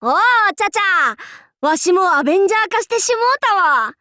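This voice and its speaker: A woman's voice: extremely high pitch, big pitch swings, brisk, pushed and bright, no echo, clean studio sound, exaggerated voice. An actress playing a boastful young female demon-king warlord in an anime game, with archaic lordly speech that flips between haughty and goofy. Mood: breezy, teasing. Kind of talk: Japanese anime game character voice lines.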